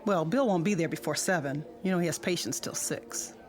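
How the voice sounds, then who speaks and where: Actress as breezy suburban wife, music behind. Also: female voice, fairly low pitch, fairly quick, close to an everyday voice. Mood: busy, affectionate. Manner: breezy, colloquial. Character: chatty, easygoing suburban wife and mother. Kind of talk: modern radio drama acting.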